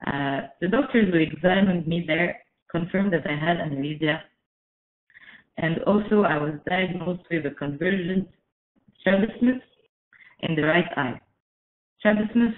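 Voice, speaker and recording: A woman in her twenties from Egypt giving a prepared webinar talk, careful and measured, with frequent "uh" fillers. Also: on the low side. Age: 20s. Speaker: webinar speaker. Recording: echoey, phone-like webinar audio.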